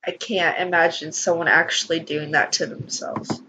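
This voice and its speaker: A woman's voice: low-pitched, little pitch movement, normal speed, background noise. A woman hosting a gaming channel, reading a visual novel aloud and adding emotional commentary. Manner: emotional, exclaiming reactions.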